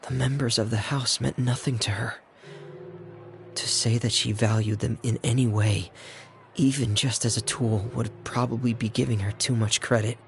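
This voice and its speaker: Man playing a solemn, gravelly young man. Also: mid-range voice, steady tone, slight background noise, lightly acted. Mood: somber, bitter. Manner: measured, earnest, grave. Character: solemn, loyal young man raised in a strict orphanage. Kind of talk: dramatic video game dialogue. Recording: music behind the voice.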